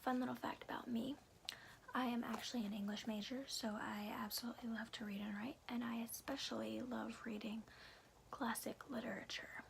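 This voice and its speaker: A woman speaking in a soft, breathy whisper in an informal, unhurried ASMR style, as host of a read-aloud series. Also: on the high side, level tone, slight background noise. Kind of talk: whispered ASMR, casual rambling chat and storybook reading.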